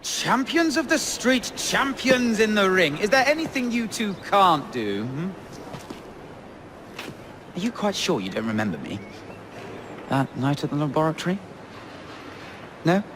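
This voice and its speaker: A man voicing an excitable, chatty, flamboyant Victorian bookie with a London accent in a video game. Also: high-pitched, measured pace, pauses often, noisy background. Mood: flattering, eager. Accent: London English. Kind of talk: video game cutscene acting.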